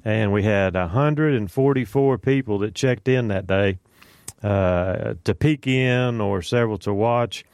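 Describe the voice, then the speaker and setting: A man's voice: lowish voice, measured pace, darkish tone, smooth, no room sound, studio quality. A man hosting a morning religious radio show, chatting in a folksy way with many fillers and greeting listeners by name. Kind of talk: casual morning radio chat. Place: radio studio. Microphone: studio microphone.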